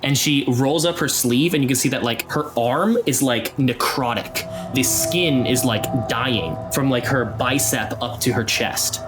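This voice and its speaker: A man's voice: medium pitch, a little slow, hardly any pauses, music in the background, poor audio. A man running a tabletop game, explaining rules and narrating scenes in a conversational way. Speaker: game master.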